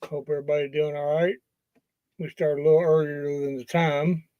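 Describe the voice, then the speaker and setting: A man talking casually and haltingly as a livestream host, in a folksy, unscripted way. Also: fairly high pitch, level tone, dark tone. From Kentucky, US. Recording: dull lo-fi sound, roomy echo.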